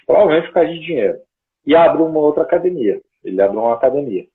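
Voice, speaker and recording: A man's voice: on the high side, lively intonation, fast talker. A man telling a long story in a casual, rambling way, often checking in with little tag questions, as a guest on a call. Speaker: guest researcher. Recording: tinny phone-like call audio.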